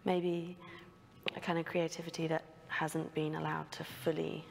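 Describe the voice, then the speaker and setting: A woman's voice: sits low, little pitch movement, a little slow, gravelly, big-room sound, a little hiss or hum. A woman on a studio panel, thinking aloud with hesitant phrasing and many fillers. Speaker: panel guest. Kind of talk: panel discussion.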